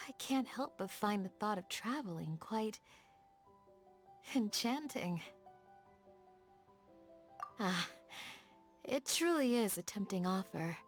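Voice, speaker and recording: Casting: young female desert guardian for a game. Gentle, earnest, soft-spoken, mid-range pitch, music underneath. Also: lively intonation, slow pace, pauses often. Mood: calm, welcoming. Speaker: video game character. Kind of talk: scripted game dialogue, storytelling.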